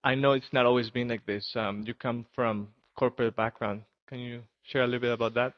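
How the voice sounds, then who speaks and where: A man's voice: medium pitch, steady tone, fairly quick, dry recording. Earnest male podcast host, dry muffled sound. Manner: earnest, enthusiastic, says hmm a lot. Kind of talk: casual interview. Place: home in Costa Rica.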